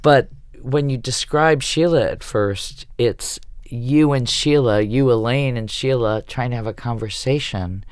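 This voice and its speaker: A man's voice: medium pitch, unhurried, hardly any pauses, clear, resonant tone, no room sound, studio quality. A man who works as a theater director, speaking reflectively and effusively in a panel discussion.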